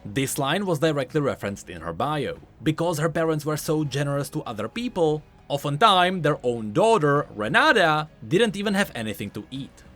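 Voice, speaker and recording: A man's voice: fairly high pitch, sing-song, normal speed, few pauses, a bit bright, faint music behind. A man giving scripted explainer commentary as a video host, in an explanatory, emphatic manner.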